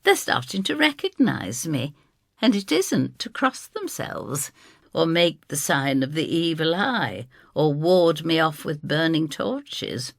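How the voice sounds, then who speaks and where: A motherly landlady, played by a woman, narrates an audio story. Her voice is low, creaky and very expressive in pitch. She is homely, wry and confiding in how she tells it. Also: slow pace, no room sound, studio quality, full character voice. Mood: cosy, confiding. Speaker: first-person narrator. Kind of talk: dramatised scripted storytelling.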